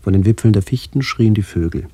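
An actor playing a brooding, melancholy middle-aged man who narrates a literary radio play in a measured, introspective way. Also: fairly low pitch, dark tone, husky, no echo. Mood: contemplative. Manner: measured, introspective, poetic delivery.

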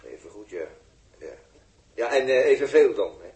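A sarky impatient space mechanic in an old radio play, voice swings up and down, echoey room. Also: male voice, medium pitch, lively intonation, gravelly, a little mellow. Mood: impatient, sarcastic. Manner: sardonic, condescending, halting while busy. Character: gruff, sarcastic male spaceship technician, impatient explainer. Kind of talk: 1960s Dutch radio drama acting.

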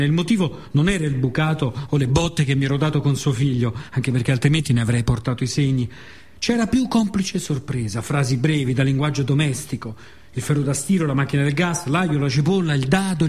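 A man with a Roman accent performs a scripted theatrical monologue, narrating in short fragments with dramatic pauses and repetitions. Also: on the high side, a little mellow, breathy edge. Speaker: actor and author of a radio monologue.

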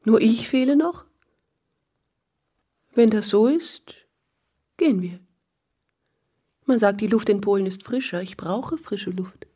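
An actress in a German radio play playing a proud, weary patient with dignified irony. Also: female voice, mid-range voice, takes it slowly, pauses often, mellow, slightly rough. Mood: wry resignation. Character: proud, weary woman patient resigned to deportation.

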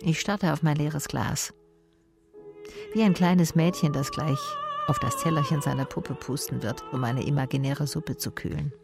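A woman's voice: deep voice, normal speed, vocal fry, over music. A woman playing a tough private detective, narrating and talking in a dry, laconic, sardonic way in a radio drama. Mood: wry, observant. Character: wry, tough female private detective narrating her case. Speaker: narrator and lead actor.

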